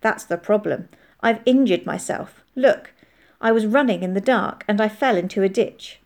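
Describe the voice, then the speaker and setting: A woman's voice: mid-range voice, moderate pace, a little mellow, natural voice. A woman voicing a polite, earnest soldier who speaks in careful, formal phrases in an audiobook. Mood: earnest, worried. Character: young soldier hiding in a barn, polite, guarded, earnest. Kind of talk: audiobook character voice.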